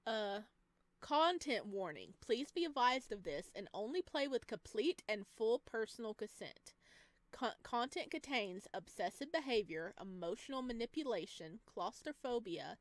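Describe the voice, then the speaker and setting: A woman's voice: a little high, big pitch swings, normal speed, no echo. A woman hosting a gaming video, giving gushing, playful let's play commentary. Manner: gushing, exclamatory, playful cutesy slang. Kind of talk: excited let's play commentary with read-aloud game text. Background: music.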